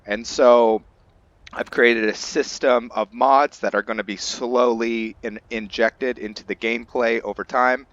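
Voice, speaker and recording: A man presenting a fantasy roleplay game playthrough as its host and narrator, speaking in an unhurried way. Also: mid-range voice, steady tone, fairly bright tone, no room sound, dull audio. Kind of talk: dramatic story narration, then informal commentary.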